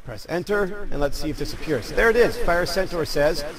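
A man with a fairly high-pitched voice narrates a step-by-step software tutorial. He speaks as a presenter addressing his viewers. A clear murmur of a crowd sits behind his voice. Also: moderate pace.

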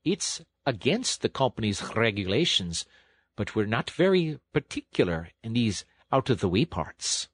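A burly, kindly engine driver from a storybook, acted by a man. He talks in a folksy, plain-spoken way, with a husky tone and lively rises and falls, recorded dry. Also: lowish voice, a little slow, dry recording, full character voice. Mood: friendly, reflective. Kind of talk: storybook character acting.

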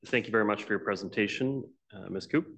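Lowish-voiced man chairing a meeting. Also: fast, a bit bright.

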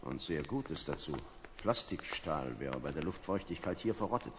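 A man playing a measured, analytical astronaut with a commanding manner in an old German radio play. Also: extremely low pitch, lively intonation. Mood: matter-of-fact. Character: calm, reasoning male astronaut. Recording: reverberant hall with background noise.

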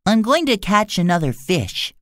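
A man's voice: high-pitched, big pitch swings, moderate pace, fairly bright tone, no room sound, studio quality, pitched above the performer's own voice. A man doing a stubborn young boy. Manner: determined, matter-of-fact.

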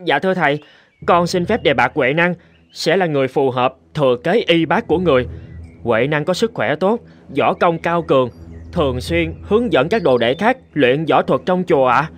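An actor playing a respectful young monk in a cartoon folk tale, speaking in an earnest, deferential manner. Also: male voice, fairly high pitch, lively intonation, normal speed. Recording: music under the voice.

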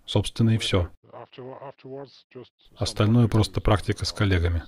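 A man from Russia, a blogger, talking in a calm, measured and modest way on a video call. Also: lowish voice. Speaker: blogger interviewing a guest. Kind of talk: calm Russian voice-over of a translated conversation.

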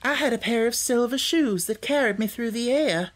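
Man voicing a high-pitched, earnest little girl. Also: moderate pace. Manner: earnest, matter-of-fact. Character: cheerful, confident, practical little farm girl. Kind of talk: audiobook character voice.

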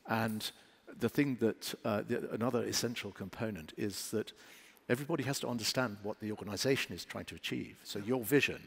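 A man in his fifties, a coach speaking on a panel, measured with slight restarts. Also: fairly low pitch, gravelly, husky. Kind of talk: panel discussion. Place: large hall on stage. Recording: hall reverb.

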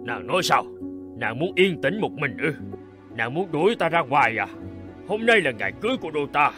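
An actor playing a burly, boastful, hot-tempered royal guard and bridegroom in a cartoon folk tale, emphatic and demanding. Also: male voice, on the high side, big pitch swings, a little fast, music in the background. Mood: indignant. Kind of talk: animated folk-tale dialogue.

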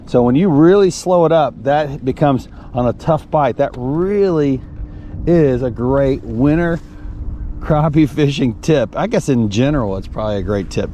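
A man's voice: medium pitch, a little mellow, slight background noise. A man talking casually and folksily to viewers as a fishing guide and host, recorded outdoors on a boat with an action camera. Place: outdoors on a small fishing boat on an open, windy lake. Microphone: action camera worn on the body.

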